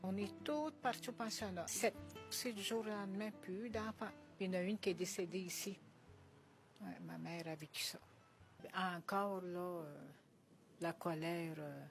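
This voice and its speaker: Female witness; low, quiet, halting, music underneath. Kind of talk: testimony.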